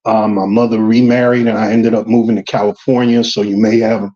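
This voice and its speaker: A man's voice: fairly low pitch, level tone, a little fast, dark tone, dull audio. A man from Georgia with a light Southern US accent, talking on a casual date in a rambling, hesitant way. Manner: rambling, hesitant, with many ums. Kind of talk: casual getting-to-know-you chat.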